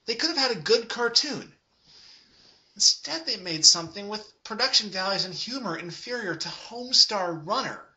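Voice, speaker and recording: A man's voice: sits high, expressive, normal speed, pushed and bright, dull audio. A man giving sarcastic review commentary in a dry, emphatic way. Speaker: comic reviewer.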